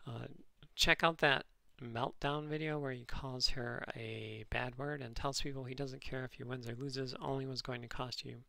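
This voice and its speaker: A man with a nasal voice, hosting his channel and replying to comments in a halting, dryly sarcastic way, full of ums and restarts. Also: medium pitch, fairly even intonation, vocal fry, sharp tone, no echo. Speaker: channel host responding to comments. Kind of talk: casual commentary reading comments aloud.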